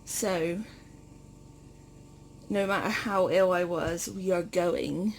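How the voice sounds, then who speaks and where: A woman hosting a casual vlog, chatting informally and haltingly to the camera. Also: fairly low pitch, steady tone, vocal fry, slight background noise. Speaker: vlog host.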